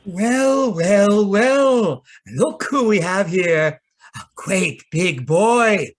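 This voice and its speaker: A man's voice: high voice, lively intonation, very slow, full character voice. A man playing a kindly, gushing, fussy Santa's helper in a radio drama. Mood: jolly, doting. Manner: effusive, fussy. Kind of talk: old-time radio drama acting.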